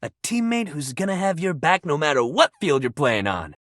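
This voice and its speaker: An actor playing a sports-obsessed, warm-hearted young jock pal in a video game, with exuberant, slangy bro talk. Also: male voice, a little high, sing-song, moderate pace, dry recording, studio quality. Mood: friendly, cheerful. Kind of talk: video game character acting.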